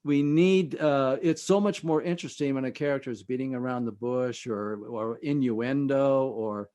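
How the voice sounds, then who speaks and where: Measured male expert with a mid-pitched voice. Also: mellow. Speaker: podcast guest, screenwriting teacher. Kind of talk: conversational expert interview.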